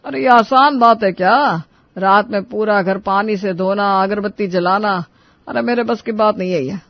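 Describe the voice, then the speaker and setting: Performer doing an exasperated old man, high voice, dull sound. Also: dry recording. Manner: indignant, drawn-out protest. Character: exasperated old father-in-law protesting loudly. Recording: dull lo-fi recording.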